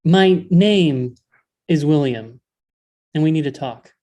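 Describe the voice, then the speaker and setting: A woman's voice: low-pitched, lively intonation, slow pace, dark tone. A woman playing a worried, touchy male head elf in a comedy table read, earnest and a little hesitant.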